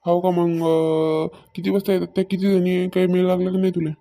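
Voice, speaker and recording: A man's voice: high voice, monotone, brisk, mellow. A man playing a grumbling, stingy village farmer husband in a comedy cartoon, with a rural Maharashtra Marathi accent and a nagging manner. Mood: matter-of-fact. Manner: nagging, complaining.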